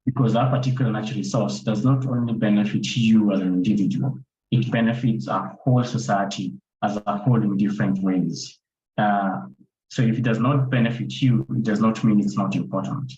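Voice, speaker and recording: A man's voice: fairly low pitch, fairly even intonation, a little fast, mellow. A man speaking off the cuff as an environmental educator on a panel, emphatic and often repeating his phrases. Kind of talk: extemporaneous panel discussion. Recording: roomy, dull, noisy call audio.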